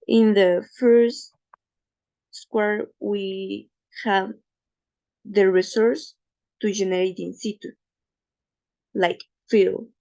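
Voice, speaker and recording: Gravelly-voiced woman presenting slides. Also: medium pitch, fairly even intonation, mellow, poor audio. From Ecuador. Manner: careful, slightly halting non-native English with fillers. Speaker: student presenter.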